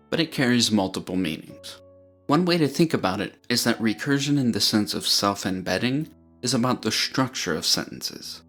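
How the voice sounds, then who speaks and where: Low-voiced man explaining step by step. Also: fairly bright tone. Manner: clear, measured, explains step by step. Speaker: educational video narrator. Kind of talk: scripted explanatory narration.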